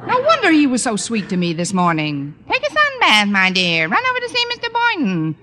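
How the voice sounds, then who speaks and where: Wry wisecracking schoolmarm, old radio comedy, animated delivery, noisy reverberant vintage recording. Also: female voice, fairly high pitch, fairly quick, full character voice. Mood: indignant, sarcastic. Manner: wisecracking, arch. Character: wry, sassy, quick-witted female schoolteacher.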